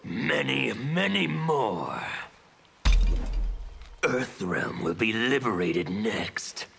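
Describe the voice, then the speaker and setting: An actor playing a menacing, fanatical male villain who preaches chaos in a fighting game, with a gravelly voice. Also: mid-range voice, lively intonation, drawn-out words, sharp tone, a little hiss or hum, exaggerated voice. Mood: menacing, zealous. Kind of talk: fighting-game character dialogue.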